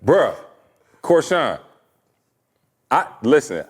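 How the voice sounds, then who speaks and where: A man with a deep voice and a stern tone hosts a podcast and tells animated stories. He laughs often between lines. His pitch sits in the middle range for a man. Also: drawn-out words, breathy edge. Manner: animated storyteller, laughs a lot. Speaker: podcast host. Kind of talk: casual chat, storytelling.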